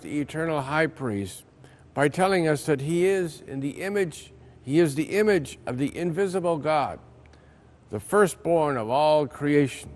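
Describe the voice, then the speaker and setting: Slow-reading older American priest. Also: male voice, on the high side, darkish tone. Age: older, around 70. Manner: measured, solemn reading. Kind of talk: scripted religious reflection, reading aloud. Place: large ornate church interior.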